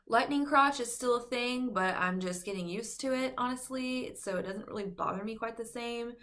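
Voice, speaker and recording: A woman's voice: a little high, normal speed, a bit bright. A young adult woman, heavily pregnant and a little short of breath, giving a casual, rambling vlog update full of fillers. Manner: chatty, rambling, many fillers and "like". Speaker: vlogger.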